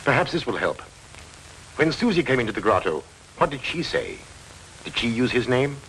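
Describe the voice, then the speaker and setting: A man playing a persistent, wry investigator who narrates in measured, dry 1940s film style. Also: medium pitch, very animated, a bit bright, a little hiss or hum, rough recording. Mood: calm. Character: dogged middle-aged male investigator, wry and persistent. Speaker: detective narrator.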